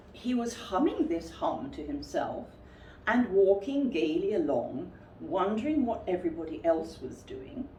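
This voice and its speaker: A woman storyteller reading a children's story aloud in an expressive style. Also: lowish voice. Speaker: storyteller, school reader. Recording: roomy, distant mic, faint background noise.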